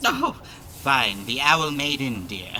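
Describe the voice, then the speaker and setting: A woman's voice: rumbling low, wildly swooping pitch, takes it slowly, bright, forceful tone, a little hiss or hum, full character voice. A woman playing a short, stocky, flamboyant salesman in an audio drama, oily and teasing, with theatrical, flowery phrasing. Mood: needling, syrupy.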